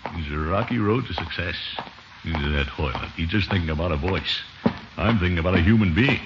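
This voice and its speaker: An actor playing a pompous but soft-hearted middle-aged uncle in a 1950s radio comedy, blustering and hesitant by turns. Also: male voice, sits low, brisk, close to an everyday voice. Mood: self-important, blustering. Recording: noisy, muffled old radio recording.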